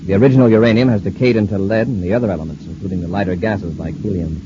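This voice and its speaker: An actor playing an authoritative, thoughtful space commander in an old-time radio sci-fi serial, speaking crisply and deliberately. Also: male voice, low-pitched, natural voice. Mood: pondering. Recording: noisy, muffled old radio recording.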